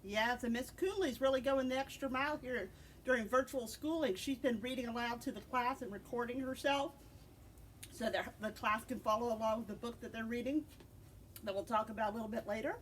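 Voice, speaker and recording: A middle-aged woman giving a conversational book talk as a librarian, in an encouraging, gently prompting manner. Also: fairly high pitch, brisk. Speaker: librarian and co-host recommending books. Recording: distant mic with loud background noise.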